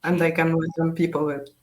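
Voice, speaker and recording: A young woman from Tunisia with a Tunisian accent, hosting a live online session in a halting way with frequent fillers. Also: low-pitched, level tone, fairly bright tone. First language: Tunisian Arabic. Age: young adult. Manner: halting, many fillers, switches between English, Arabic and French. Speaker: session host. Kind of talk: live online panel moderation.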